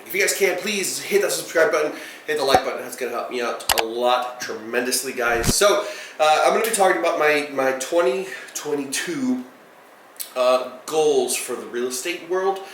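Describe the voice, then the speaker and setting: A man's voice: mid-range voice, lively intonation, moderate pace, a bit bright. A man in his thirties talking casually to camera about his plans, rambling with many fillers and restarts. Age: 30s. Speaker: real estate vlogger. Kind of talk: casual direct-to-camera talk. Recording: echoey room, voice far from the mic, faint noise.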